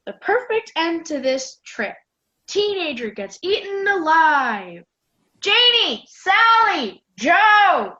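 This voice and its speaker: Young woman as whiny teenage camper girl, very high voice, slow delivery, dramatic, self-pitying, amateur radio play, dull lo-fi sound. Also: a bit bright, full character voice. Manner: complaining, dramatic. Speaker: student radio play performer.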